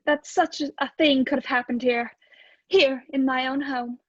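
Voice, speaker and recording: A woman's voice: high-pitched, fairly even intonation, unhurried, no room sound, muffled recording. A woman playing an anxious London landlady and wife in a radio mystery, delivered with dramatic worry. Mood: shaken, distressed. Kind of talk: radio play acting.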